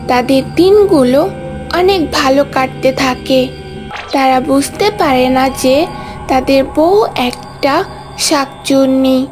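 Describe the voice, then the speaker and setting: A woman narrating a scripted cartoon story, with music behind her voice. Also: high-pitched.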